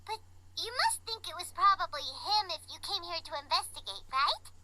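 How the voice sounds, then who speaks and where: A woman voicing a tiny, excitable childlike fairy companion in a video game, squeaky and exclamatory. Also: moderate pace, very noisy. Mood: curious. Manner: exclamatory, animated.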